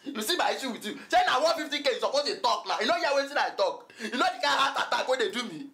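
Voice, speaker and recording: A man's voice: sits high, expressive, quick pace, fairly bright tone. A Nigerian man acting in a Pidgin screen drama as a broke, comically dramatic friend who makes excuses in a repetitive, pleading way. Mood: dramatic, self-pitying. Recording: echoey, reverberant room.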